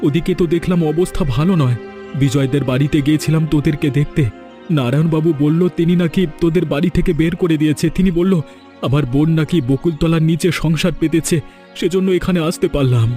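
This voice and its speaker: A caring elder brother from a fairy-tale cartoon, voiced by a man, advising and dutiful. His tone is soft-edged and mellow, over background music. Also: a little high, a little fast, close to an everyday voice. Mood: surprised, concerned.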